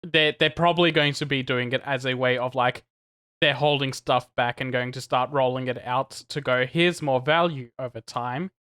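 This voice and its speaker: Bright, chatty gamer man. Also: medium pitch, moderate pace, clean tone. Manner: animated, chatty, laughs between points, many restarts. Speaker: podcast co-host. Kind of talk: casual podcast chat.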